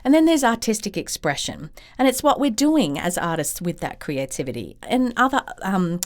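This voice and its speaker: A woman hosting her own podcast as a creativity coach, talking straight to listeners in an encouraging way, a little croaky from a chest cold. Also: mid-range voice, very animated, normal speed. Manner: warm, encouraging, direct to listeners. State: slightly croaky from bronchitis. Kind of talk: solo podcast talk.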